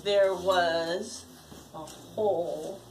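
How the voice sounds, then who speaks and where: A woman's voice: fairly low pitch, drawn-out words. An older woman, a grandmother, reads a children's story aloud expressively, dramatizing it and checking in with her young listeners. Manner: dramatic, with gasps, hushed passages and questions to listeners. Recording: home recording with steady background noise.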